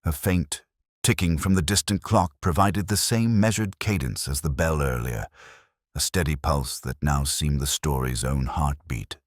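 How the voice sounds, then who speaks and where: A man narrating a mystery story in a measured, literary and theatrical manner. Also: extremely low pitch, no room sound, clean studio sound. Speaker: audiobook narrator. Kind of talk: scripted dramatic mystery narration.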